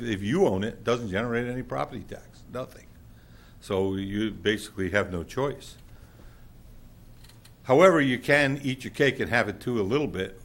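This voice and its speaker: Man, loud booming low voice, blunt public commenter at a podium mic. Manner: blunt, plainspoken, with many fillers. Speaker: public commenter at a council meeting. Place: council chamber.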